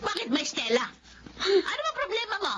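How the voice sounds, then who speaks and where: A woman's voice: very high-pitched, sing-song, moderate pace, very noisy. An actress playing a brazen, evasive Filipino woman who runs a cheap eatery, exclamatory and defensive in radio drama style. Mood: indignant, defensive.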